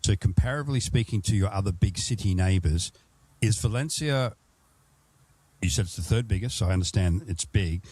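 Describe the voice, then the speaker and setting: A very low-voiced Australian man hosts a casual travel chat, circling through long, rambling questions with plenty of restarts. His voice sits close and dry on the mic, with no room around it. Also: expressive, fairly quick, darkish tone, slightly rough, no echo. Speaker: podcast host.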